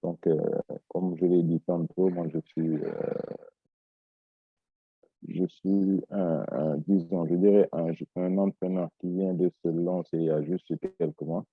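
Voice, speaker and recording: A man's voice: low-pitched, fairly even intonation, unhurried, slightly rough, dry recording. A man introducing himself in an online training meeting, hesitant with many fillers, heard over a video call. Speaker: participant. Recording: dull dry video-call audio.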